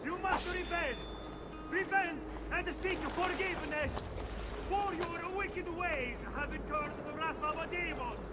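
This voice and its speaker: High-pitched, zealous preacher. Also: male voice, very noisy. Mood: solemn, accusing. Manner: declamatory, solemn, drawn-out delivery. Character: fanatical fire-and-brimstone Italian preacher, grave and zealous. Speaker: game character actor. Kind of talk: theatrical sermon oratory.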